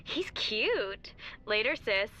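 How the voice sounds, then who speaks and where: A woman's voice: mid-range voice, very animated, takes it slowly, bright, forceful tone, soft background music, exaggerated voice. An actress playing a young, chatty, bubbly woman in a sci-fi video game. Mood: playful. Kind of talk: scripted game dialogue.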